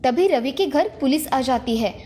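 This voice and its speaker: Woman narrator for a kids story, high voice, faint music under it. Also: lively intonation, normal speed, mellow. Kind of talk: scripted children's story narration.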